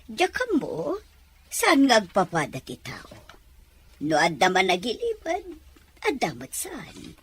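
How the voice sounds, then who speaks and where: Husky, protective mother played by a woman. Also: medium pitch, wildly swooping pitch, takes it slowly, long pauses, exaggerated voice. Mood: concerned. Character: protective, cautioning middle-aged mother. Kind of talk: dramatic dialogue in a serial drama.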